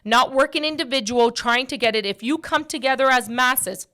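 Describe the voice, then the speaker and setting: A woman in her forties with a fairly high, bright, forceful voice. She hosts a casual talk show, speaking bluntly and straight to her listeners, and repeats phrases to drive them home. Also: moderate pace. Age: early 40s. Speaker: podcast host and mortgage brokerage owner.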